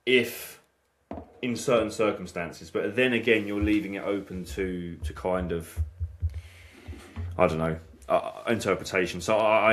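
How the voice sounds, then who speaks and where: A man hosting a casual snooker podcast chat, stumbling and restarting often as he talks things through. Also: fairly low pitch. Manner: hesitant, many restarts and fillers. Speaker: snooker channel host.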